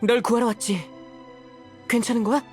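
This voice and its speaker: A woman's voice: on the high side, steady tone, normal speed, faint music behind, close to an everyday voice. A woman voicing an earnest young hero in a game, urgently seeking to rescue a friend. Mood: concerned. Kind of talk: Korean game voice acting.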